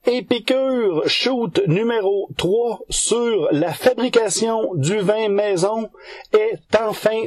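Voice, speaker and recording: A man's voice: on the high side, a little mellow, dry recording. A man with a Québécois French accent presenting a podcast news bulletin from partly scripted notes. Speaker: podcast segment host.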